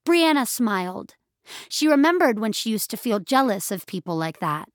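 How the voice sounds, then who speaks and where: A woman narrating scripted audio fiction in a measured, expressive storytelling style. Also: a little high, no room sound, studio quality.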